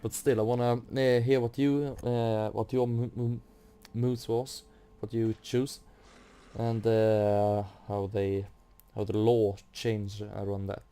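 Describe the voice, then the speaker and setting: Slow, dark-voiced hesitant gamer guy. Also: lowish voice, level tone, clear, resonant tone. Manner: hesitant, thinking aloud, swears freely. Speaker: gaming video maker playing through a quest. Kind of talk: casual game commentary. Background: game music.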